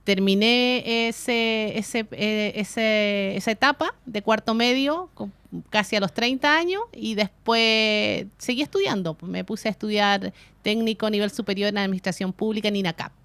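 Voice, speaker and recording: A woman's voice: medium pitch, moderate pace, a bit bright, clear, resonant tone, dry recording. A Chilean woman in her thirties, a guest on a radio show, telling her life story in a chatty, colloquial way with easy laughter. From Chile, Aysén region. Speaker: guest, city councillor and radio announcer. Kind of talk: casual radio interview. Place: radio studio.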